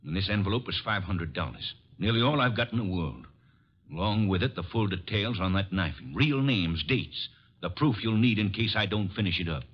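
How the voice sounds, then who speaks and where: An actor playing a bitter, vengeful man on the run, halting but insistent, in old radio drama style. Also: low voice, animated, husky. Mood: grim, determined. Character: bitter, vengeful drifter wrongly blamed for a killing. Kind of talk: 1950s radio drama acting. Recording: muffled old radio recording.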